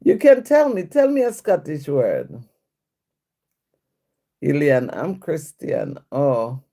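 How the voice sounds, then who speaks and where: Woman streamer, chatty, answers comments, unhurried delivery with lots of swing in pitch. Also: big pitch swings, warm tone. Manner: chatty, answers comments aloud, laughs often. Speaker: livestream host reading viewer comments. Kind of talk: casual live-stream chat.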